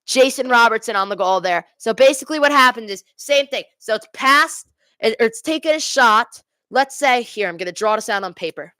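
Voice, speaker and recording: A woman's voice: fairly high pitch, expressive, a little fast, bright, forceful tone, no echo. A woman giving live amateur hockey play-by-play for a fan watch party, correcting herself and explaining informally. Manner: exasperated Devils fan, self-correcting, explains plays informally.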